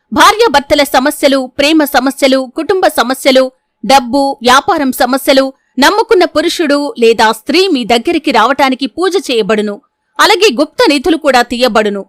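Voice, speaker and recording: High-pitched woman announcing an advertisement. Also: a bit bright, no room sound, studio quality. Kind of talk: scripted advertisement read.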